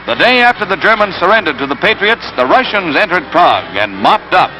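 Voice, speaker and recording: High-pitched American 1940s newsreel man. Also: sing-song, brisk, poor audio. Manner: dramatic, emphatic delivery. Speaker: newsreel narrator. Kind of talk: 1940s newsreel narration, scripted. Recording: old 1940s newsreel soundtrack, loud music. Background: loud orchestral newsreel score.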